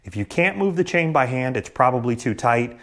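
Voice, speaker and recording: A man in his thirties talks straight to the camera from his garage, walking through a power tool's pros and cons. His voice sits at an ordinary middle pitch, and he explains things in a plain, practical, matter-of-fact way. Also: lively intonation, a little fast, fairly bright tone. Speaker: tool reviewer. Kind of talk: product review to camera. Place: garage, indoors.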